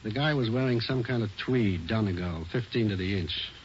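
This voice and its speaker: An actor playing a methodical police lab technician in a 1940s radio drama, speaking in terse, matter-of-fact lines. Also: male voice, fairly low pitch, normal speed, lightly acted. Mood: businesslike. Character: methodical male crime lab technician, businesslike. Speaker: supporting actor. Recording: muffled, noisy old radio recording.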